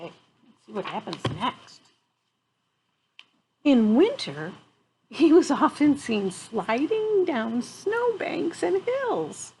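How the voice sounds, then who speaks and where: A woman reads a children's picture book aloud at a slow pace, adding chatty exclamations. Her voice is fairly high and amused. She is outdoors, with faint ambient noise behind her. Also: mellow, rough recording. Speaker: children's storyteller. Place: outdoors on a wooden deck among trees.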